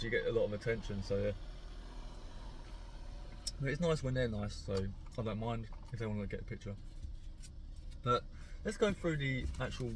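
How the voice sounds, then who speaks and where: A man's voice: medium pitch, a little hiss or hum. A young man talking casually to camera from his parked car, rambling and laid-back, with a sore throat that makes his voice crack. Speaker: car vlogger.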